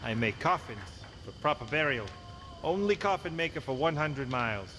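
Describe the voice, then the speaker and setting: A man's voice: fairly high pitch, animated, normal speed, sharp tone. A man playing a plain-spoken, welcoming rural coffin maker in an audio game. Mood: matter-of-fact. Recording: noisy ambience behind the voice.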